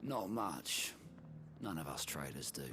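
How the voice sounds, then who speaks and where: An actor playing a blunt, scornful common trader in a fantasy game, with colloquial speech, over heavy background noise. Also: male voice, a bass voice, big pitch swings, measured pace, over music, heavily acted. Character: scornful, rough common male market trader. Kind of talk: scripted fantasy game acting.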